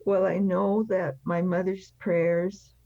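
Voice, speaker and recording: An older woman speaks as an interview guest with a crackly, fry-laden voice. She is blunt and emphatic as she shares personal experience. The recording is dry and slightly dull. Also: on the low side, flat delivery, takes it slowly, mellow, dry recording. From France. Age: 70s or older. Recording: slightly dull, band-limited sound.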